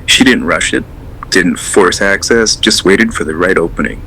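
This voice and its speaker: A man's voice: low voice, moderate pace, pushed and bright, a little hiss or hum, rough recording. A man acting as a hesitant, rambling caller to a late radio show in an audio drama, earnest and unsettled. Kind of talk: audio drama, naturalistic phone-in call.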